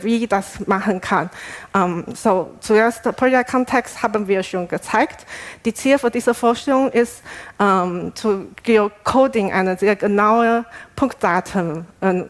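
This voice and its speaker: A woman researcher presents at a conference with a Taiwanese accent, a native Chinese speaker working in a second language. Her delivery is halting and hesitant, feeling its way from phrase to phrase. Her voice sits at an average pitch for a woman.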